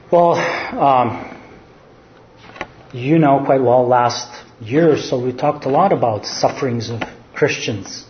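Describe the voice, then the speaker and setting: A man's voice: mid-range voice, reverberant, highs cut off, a little hiss or hum. A man from Serbia with a Serbian accent, a pastor preaching a sermon and making his points with rhetorical questions. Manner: earnest teaching, rhetorical questions, answers his own questions.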